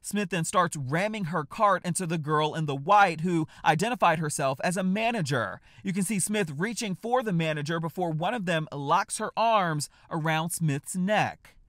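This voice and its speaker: A man in his thirties presenting a scripted news report from a home office, with a brisk, emphatic delivery. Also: on the high side, a bit bright, no room sound, clean studio sound. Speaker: news host.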